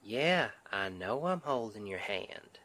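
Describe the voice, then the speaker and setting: A man's voice: on the low side, sing-song, bright, forceful tone, heavily acted. A man playing a sweet, protective Southern husband with a Southern US accent, speaking in a folksy, soothing way in an intimate ASMR roleplay. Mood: concerned, gentle. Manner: folksy, tender, unhurried, soothing.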